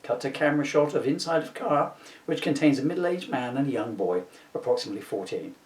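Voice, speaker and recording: A man's voice: medium pitch, expressive, a little fast, a little mellow. A man giving a comic scripted reading with wry, self-mocking asides. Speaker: author reading his own script. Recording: roomy echo, distant mic.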